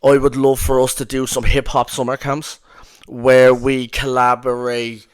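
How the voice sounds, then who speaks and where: A man's voice: medium pitch, monotone, a little slow, clean tone. A young man with a strong Dublin accent, hosting a casual podcast chat, rambling and swearing with lots of fillers. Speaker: podcast host.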